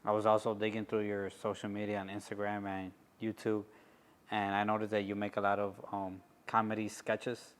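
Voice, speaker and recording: A man interviewing a guest, asking measured questions with an occasional "um". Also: on the low side, completely flat delivery. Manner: measured, with "um" between phrases.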